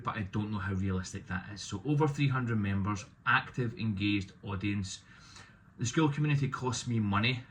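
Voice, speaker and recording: Man in his thirties, lowish voice, loud and opinionated, casual vlog, faint background hum. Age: 30s. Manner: loud, opinionated, self-deprecating. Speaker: business owner vlogging. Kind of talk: casual talking-to-camera vlog.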